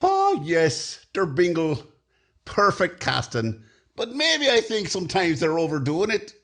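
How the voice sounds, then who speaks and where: A man's voice: high-pitched, wildly swooping pitch, unhurried, a bit bright, heavily acted. A middle-aged man playing a cheerful, wisecracking Irishman with an Irish accent in 1940s radio comedy style. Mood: wry, playful. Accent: put-on Irish.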